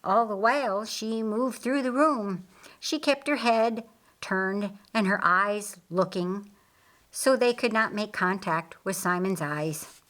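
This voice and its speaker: A woman reading a scripted story as an audiobook narrator, in a measured and expressive way. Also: medium pitch.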